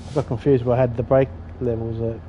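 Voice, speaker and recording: Aussie guy rambling while he drives a train game, kinda mellow voice, muffled audio with faint game noise. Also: medium pitch, flat delivery, fast talker. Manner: rambling, self-deprecating, mild swearing. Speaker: gaming streamer. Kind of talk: casual gameplay commentary.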